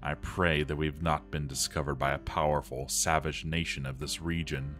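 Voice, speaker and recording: A man's voice: very deep voice, little pitch movement, normal speed, a bit bright, faint music behind. A man voicing a despairing dwarf armorer who is slipping into madness, reading his journal in a grave, theatrical way. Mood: bleak despair.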